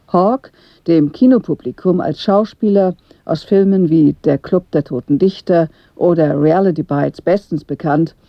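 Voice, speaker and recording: A radio announcer giving a formal, measured, scripted introduction to a broadcast play. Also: medium pitch, dry recording. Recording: dry, slightly dull studio audio.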